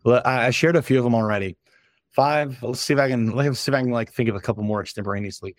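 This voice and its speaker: A slightly hoarse man, a company founder, giving frank, casual advice as a podcast guest and listing his points in a loose conversational way. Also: medium pitch, fast talker, no room sound, clean studio sound. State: a little hoarse. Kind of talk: casual business podcast talk.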